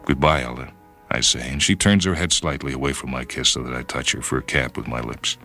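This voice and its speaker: A man narrating a story for radio in a measured, introspective, dramatic manner. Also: extremely low pitch, steady tone, pushed and bright. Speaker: reader and host of a radio short story program. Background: music.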